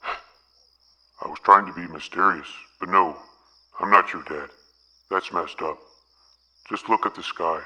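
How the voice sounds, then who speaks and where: Low, sharp-edged mysterious radio caller , a man acting. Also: fairly even intonation, exaggerated voice. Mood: mysterious, deadpan. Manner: slow, would-be mysterious. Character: mysterious male caller on radio, ominous yet peevish.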